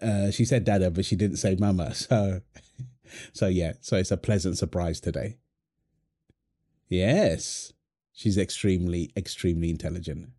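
A man's voice: low-pitched, normal speed, pauses often, warm tone. A man playing a tender, playful young husband and doting father in an ASMR roleplay, soothing and teasing as he talks. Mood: pleased, chatty. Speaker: voice actor of a roleplay audio. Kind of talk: ASMR boyfriend roleplay, acted one-sided dialogue.

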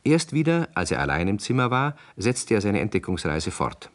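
Low, animated male storyteller. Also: moderate pace. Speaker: narrator. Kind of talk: scripted storytelling narration, 1960s radio play.

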